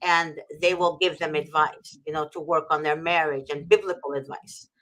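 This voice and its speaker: A woman's voice: low-pitched, fairly even intonation, normal speed, fairly bright tone, poor audio. A middle-aged woman from Egypt speaking fervently about her faith, repeating phrases for emphasis. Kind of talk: impassioned faith talk.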